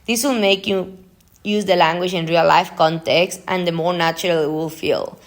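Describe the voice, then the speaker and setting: Low-pitched young woman, native Spanish speaker, podcasting. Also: steady tone, moderate pace. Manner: chatty, informal, frequent use of "like". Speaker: podcast host. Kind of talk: informal solo podcast, part read from notes, part ad-libbed.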